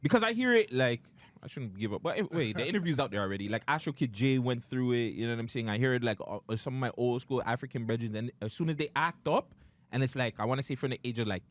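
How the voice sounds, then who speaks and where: A male podcast host whose pitch rises and falls a lot as he talks. He leans on street slang and breaks into laughter while quizzing his guest in a loose, joking way. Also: animated, quick pace, dry recording. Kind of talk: casual podcast interview.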